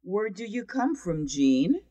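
Slow, careful woman reading lesson dialogue. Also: mid-range voice, big pitch swings, mellow, dry recording. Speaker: dialogue reader in a language course.

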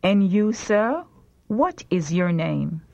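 Slow, clear woman reading lesson prompts. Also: medium pitch, very animated, dark tone, muffled recording. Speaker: language lesson reader. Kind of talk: slow repeat-after-me language drill.

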